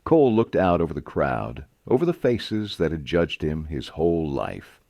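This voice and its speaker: Guy telling a western, gravelly n dry close sound, dramatic short phrases, suspenseful. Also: extremely low pitch, big pitch swings, moderate pace, a little mellow, no echo. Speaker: storyteller. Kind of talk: dramatic scripted Western story narration.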